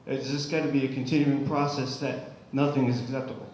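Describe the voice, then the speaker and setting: A man of average pitch speaks as an audience member at a public forum, building up a question to the panel. The hall rings strongly around him, with faint noise underneath. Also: level tone, fast talker, a little mellow.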